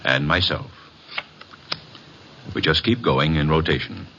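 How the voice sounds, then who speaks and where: An actor playing a suave club owner with formal, precise phrasing, in old-time radio drama style. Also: male voice, very deep voice, a little slow. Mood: suave, commanding. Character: suave, formal male club owner hosting a card game. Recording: dull, hissy vintage radio recording.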